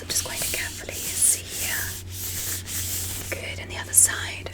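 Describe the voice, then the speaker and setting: Woman as a whispering, gentle alien doctor. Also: natural voice, poor audio. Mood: gentle, curious. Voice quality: breathy, unvoiced whisper. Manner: careful, encouraging, formal clinical phrasing. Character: gentle, curious alien doctor examining a human. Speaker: ASMR performer. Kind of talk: ASMR medical roleplay, whispered.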